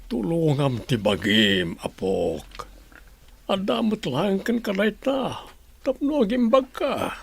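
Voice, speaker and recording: Paos na lolo. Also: male voice, sits high, big pitch swings, slow pace, vocal fry, husky, heavily acted. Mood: caring. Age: older. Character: older grandfather, head of household. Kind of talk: radio drama acting.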